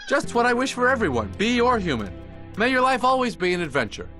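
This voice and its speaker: A man's voice: very high voice, very animated, quick pace, bright, forceful tone, soft background music, exaggerated voice. An actor playing an earnest, plucky young male bee in a cartoon game, with a casual, wisecracking, self-deprecating manner. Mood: casual, wry.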